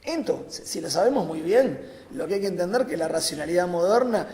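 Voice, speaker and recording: A man giving an improvised philosophical talk on a panel, phrasing deliberately and emphatically in short bursts with pauses. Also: high-pitched, animated, a little mellow. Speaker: panel speaker, academic. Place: large reverberant conference room. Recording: echoey hall with long reverb.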